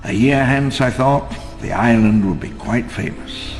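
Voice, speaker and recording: An actor playing a wistful elderly park founder, narrating his memoirs in a measured, theatrical, ruminative way for a game audio log. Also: mid-range voice, lightly acted. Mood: wistful, reflective. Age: older. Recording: long reverb under clear music.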